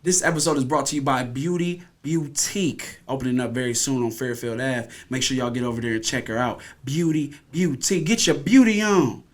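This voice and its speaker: A man's voice: medium pitch, brisk, hardly any pauses. A man co-hosting a podcast with casual, conversational banter. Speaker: podcast co-host.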